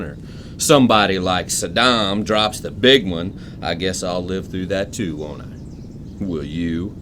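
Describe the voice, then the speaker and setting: A man's voice: sits low, background noise. An actor playing an eccentric, suspicious older man, a retired engineer with folksy, clipped country phrasing, in an audio drama. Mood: chatty, proud. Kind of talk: full-cast audio drama acting.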